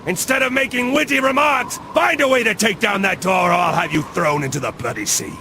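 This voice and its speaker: An actor playing a cruel, glory-hungry crusader commander in a video game, haughtily barking orders. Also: male voice, sits high, a little fast, vocal fry, background noise. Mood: furious, impatient. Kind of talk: dramatic video game acting.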